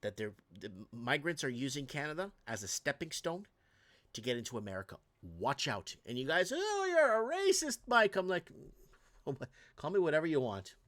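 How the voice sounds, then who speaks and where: A man hosts a reaction show, commenting on viral clips in an indignant, rambling rant that keeps restarting. His voice sits a little high for a man. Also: wildly swooping pitch, normal speed, fairly bright tone. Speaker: host.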